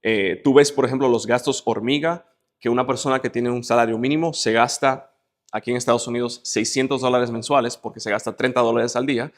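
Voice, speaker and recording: Man, Dominican Spanish accent, medium pitch, emphatic motivational interview talk, entrepreneur guest. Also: fairly even intonation, a little fast. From Dominican Republic.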